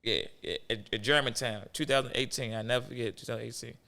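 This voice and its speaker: Gravelly Baltimore man, stammering. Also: mid-range voice, steady tone, fast, sharp tone. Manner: stutters and repeats words. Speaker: comedian guest. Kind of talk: casual podcast chat.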